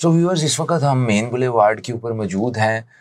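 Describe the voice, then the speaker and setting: A man's voice: mid-range voice, lively intonation, a little fast, over music. A man in his thirties with a Pakistani accent presents a promotional real estate video as a consultant, explaining things like a salesman into a lapel mic.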